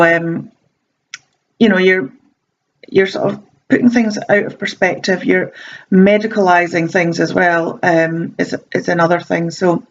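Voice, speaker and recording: A woman's voice: on the low side, vocal fry, highs cut off. A woman with a slight sore throat giving casual self-help advice, chatty and meandering with frequent ums and restarts. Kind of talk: casual talking-to-camera advice.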